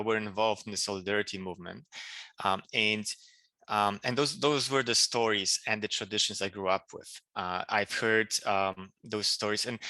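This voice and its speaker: A man from Poland with a Polish accent, answering interview questions in a halting way with many restarts. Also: lowish voice, bright, forceful tone, no echo. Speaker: political candidate.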